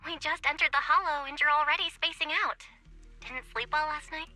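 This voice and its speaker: A perky young female game teammate. Her voice is very high and sharply bright. She comes across as practical, direct and friendly. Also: steady tone, brisk, faint music behind. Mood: perky, concerned. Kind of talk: scripted game voice acting.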